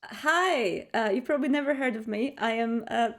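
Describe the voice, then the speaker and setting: A woman talks casually as a player in a roleplay game. Her voice is a little high, and she feels her way through sentences in a halting manner. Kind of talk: casual chat.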